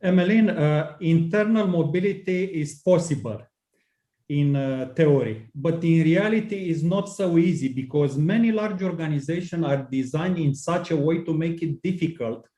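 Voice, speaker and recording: Mellow, deliberate male expert. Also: on the high side, echoey room. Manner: deliberate, explanatory, with fillers. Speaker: subject expert guest. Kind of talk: panel discussion.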